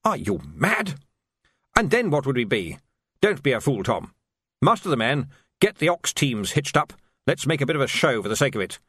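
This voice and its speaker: A man's voice: medium pitch, big pitch swings, moderate pace, fairly bright tone, breathy edge, no echo. A man voicing a tired, resigned male army major who sighs through his words. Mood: exasperated. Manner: tired, sighing.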